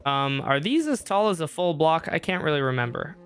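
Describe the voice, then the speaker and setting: A man's voice: on the high side, big pitch swings, brisk, a bit bright, clear, resonant tone. A young man streaming a game, rambling and joking at himself as he thinks aloud. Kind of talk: casual live-stream gameplay talk. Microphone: streaming microphone.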